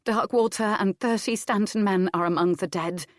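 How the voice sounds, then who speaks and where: A woman's voice: medium pitch, normal speed, dry recording, studio quality, voice pushed up, natural voice. A woman reading an audiobook, voicing a grieving young widowed noblewoman who pleads through tears. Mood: grave. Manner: sobbing, tearful pleading. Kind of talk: audiobook character voice.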